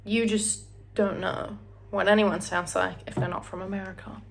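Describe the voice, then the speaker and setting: A woman's voice: medium pitch, flat delivery, moderate pace, gravelly. A young Australian woman with an Australian accent, chatting casually and rambling while she does her hair and makeup at home. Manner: chatty, rambling, sings snatches of songs, drops into whispers. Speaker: beauty vlogger. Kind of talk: casual get-ready-with-me chat.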